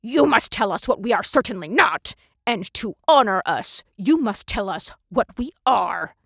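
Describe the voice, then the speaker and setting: A woman's voice: mid-range voice, big pitch swings, a little slow, a bit bright, slightly rough, no room sound, exaggerated voice. A woman voicing a stern alien ship captain with a proud, formal way of speaking. Each line is clipped and demanding. The sound is dry and close.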